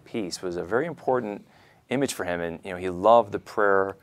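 A man's voice: low-pitched, fairly quick. A man hosting a reflective conversational interview, asking questions and adding his own commentary. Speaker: interview host.

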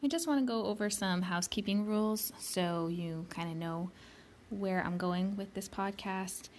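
A woman hosting her own podcast, speaking slowly and deliberately, pronouncing each word carefully, sometimes dropping to a soft whisper. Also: mid-range voice. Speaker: podcast host. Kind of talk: slow, careful spoken podcast introduction.

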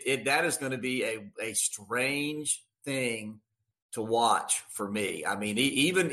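A man's voice: medium pitch, bright, forceful tone. A middle-aged man, a radio announcer, chatting casually as an interview guest in a folksy, emphatic way, repeating words for stress. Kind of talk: casual sports interview chat.

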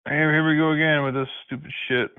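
A man's voice: on the high side, little pitch movement, quick pace, clean tone. A man answering a prank call, irritated and dismissive. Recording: tinny phone line.

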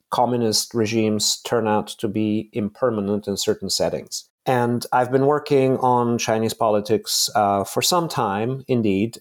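A man's voice: fairly low pitch, darkish tone. A man, answering interview questions in careful, reflective academic phrasing. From Bulgaria. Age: late 40s. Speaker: podcast guest, political science professor.

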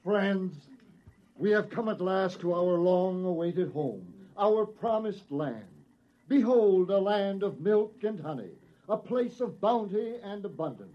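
An actor playing a stern, suspicious military leader of settlers, pompous and oratorical, in 1950s radio drama style. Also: male voice, sits high, lively intonation, slow. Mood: solemn, preaching. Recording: dull vintage radio recording.

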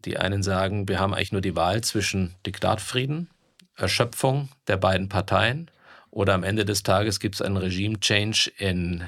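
A man discussing politics in a measured, analytical way with formal phrasing. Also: sits low, creaky, fairly bright tone, no room sound, clean studio sound. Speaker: podcast co-host, former politician.

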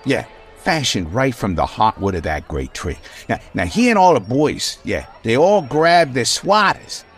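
Bumbling small-town parade emcee, slow, stammering, folksy storyteller with big pitch swings, comic radio drama. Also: male voice, big pitch swings, faint music behind. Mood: rambling, folksy. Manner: halting, rambling, stammering, clears throat. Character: bumbling folksy male emcee rambling through town legend. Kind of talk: comic old-time radio acting.

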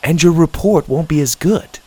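A man's voice: on the high side, normal speed, dark tone, slightly rough, voice pushed up. A man voicing a mother in a storybook who makes wry asides, in a somewhat raised voice. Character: mom, woman, wry and warm-humored.

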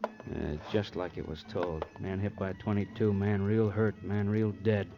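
An American actor playing a blunt, eager police sergeant sidekick in a vintage radio crime drama, punchy and repetitive, with folksy cop talk. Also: male voice, lowish voice, level tone, moderate pace, creaky. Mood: grim. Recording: muffled old radio recording with music.

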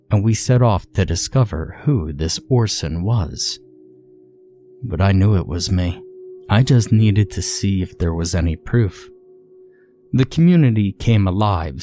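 Low, mellow male horror narrator. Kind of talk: scripted first-person creepypasta narration.

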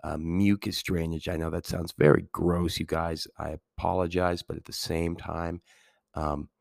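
A man hosting his podcast as a teacher in a rambling, casual way, with a calming, soothing voice while getting over a cold. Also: sits low, normal speed, creaky, no echo, studio quality. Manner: rambling, many fillers and restarts. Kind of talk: casual solo podcast talk.

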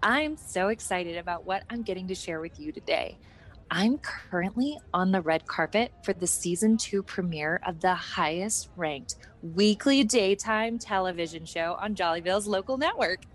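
A woman's voice: fairly low pitch, expressive, moderate pace. A woman playing a chirpy, eager young red carpet reporter in a comedic radio sketch, polite and gushing. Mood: excited.

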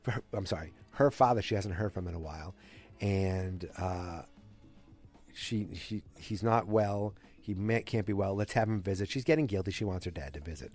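A man's voice: low-pitched, moderate pace, a little mellow, faint music behind. A man with a cold, recapping a TV episode on his show in a rambling, self-correcting way. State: has a cold. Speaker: podcast host recapping a TV episode. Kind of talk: casual solo recap.

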